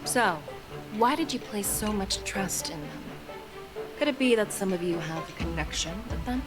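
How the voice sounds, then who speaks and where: A woman's voice: medium pitch, expressive, moderate pace, natural voice. Woman acting assured commanding female general, music behind. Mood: probing. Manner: assured, formal, probing. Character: confident, commanding female general, shrewdly probing interrogator. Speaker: video game character. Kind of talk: game voice acting. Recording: game music playing behind.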